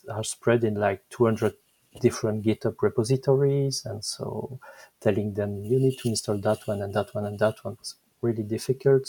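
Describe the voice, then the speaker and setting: A man's voice: mid-range voice, warm tone, husky, low-quality recording. Belgian man with a French accent. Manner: thoughtful, halting, searches for words. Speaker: guest, software engineer. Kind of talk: interview answers.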